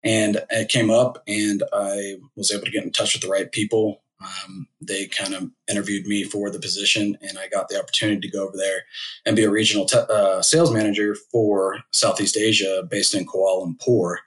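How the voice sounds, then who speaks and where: A man in his thirties, a podcast guest talking about his career in a measured, thoughtful way with many fillers. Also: fairly low pitch, flat delivery, fairly bright tone, poor audio. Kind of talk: casual interview.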